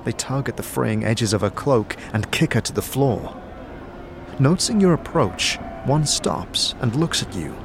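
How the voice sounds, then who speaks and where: A man's voice: medium pitch, animated, unhurried, noisy background. A man narrating a fantasy audio drama in dramatic, scripted second person, as a storyteller. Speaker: narrator, game master. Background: music bed.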